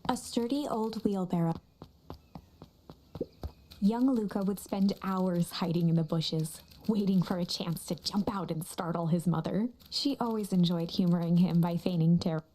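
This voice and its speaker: A woman playing a dramatic, playful storybook narrator in a video game, with a coy, deliberate delivery. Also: lowish voice, warm tone, a little hiss or hum, close to an everyday voice. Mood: storytelling. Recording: music under the voice. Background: guitar and instrumental music.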